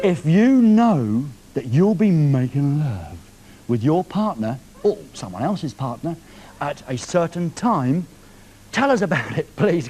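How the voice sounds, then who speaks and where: A man's voice: on the high side, darkish tone, a little hiss or hum, poor audio. A man hosting a live TV show, teasing a caller on the phone with cheeky questions. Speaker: TV presenter. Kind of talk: live TV prank phone call. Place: TV studio.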